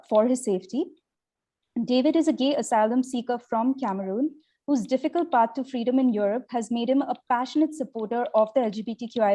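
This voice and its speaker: A woman moderating a panel, giving a prepared introduction in a measured, formal way with small hesitations. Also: fairly high pitch, mellow.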